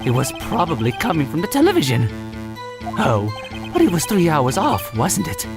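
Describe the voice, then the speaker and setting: A man's voice: on the high side, wildly swooping pitch, normal speed. A man playing a nervous, shifty witness in a courtroom game fan dub, stammering and flustered, with music behind. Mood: evasive. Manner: stammering, flustered, with sudden outbursts. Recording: music over the voice.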